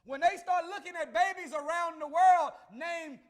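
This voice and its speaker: A man delivers a sermon in a very high voice. He calls to his listeners and works them into responses, climbing to loud shouted passages. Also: moderate pace. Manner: call-and-response preaching, rising to shouts. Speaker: minister.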